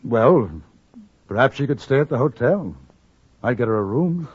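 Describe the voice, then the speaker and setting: An actor playing an earnest, gentlemanly traveler in a 1950s radio western, speaking in proper, polite phrases. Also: male voice, medium pitch, animated, normal speed, husky, dry recording. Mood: perplexed. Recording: dull, noisy 1950s radio recording.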